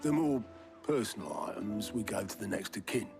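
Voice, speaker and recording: A British actor playing a gruff, wry middle-aged man in a fantasy video game, blunt and colloquial. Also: fairly low pitch, expressive, normal speed. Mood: wry, sober. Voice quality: gravelly, creaky. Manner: blunt, colloquial, dryly teasing. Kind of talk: cinematic video game acting. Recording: music behind the voice.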